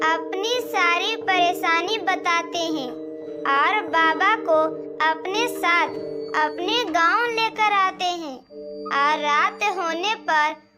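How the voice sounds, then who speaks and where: A woman's voice: very high-pitched, slow. A woman narrating a scripted cartoon horror story in a storyteller's manner. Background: loud music.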